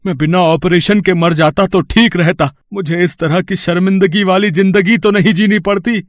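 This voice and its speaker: A man's voice: high-pitched, fairly even intonation, moderate pace, dry recording, lightly acted. A man playing an angry, shamed village father who laments bitterly in a dramatised moral story. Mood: indignant, despairing.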